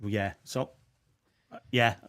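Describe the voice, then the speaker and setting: A man's voice: on the low side, steady tone, slow, a bit bright, clean tone. A man co-hosting a casual chat, conversational and playful, with frequent tag questions like "don't you?" and "right?". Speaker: podcast co-host.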